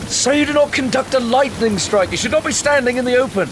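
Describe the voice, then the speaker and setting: Obsessive idealistic youth, studious, intense, very varied pitch, formal wording, gothic radio play, clear background noise. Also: male voice, lively intonation, fast. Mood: exhilarated. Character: obsessive, headstrong, idealistic young male student. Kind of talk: audio drama acting.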